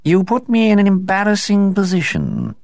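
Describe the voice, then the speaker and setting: A man voicing a coldly indifferent male house cat, speaking in a cool, disdainful and unhurried way. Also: high voice, wildly swooping pitch, darkish tone, no room sound, clean studio sound, voice pushed up, full character voice. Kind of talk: storybook reading.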